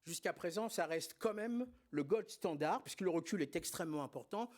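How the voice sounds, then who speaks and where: A man's voice: a little high, expressive, brisk. A man presenting a spine surgery lecture at a congress, didactic and emphatic, with frequent hesitations and filler words. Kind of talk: conference lecture.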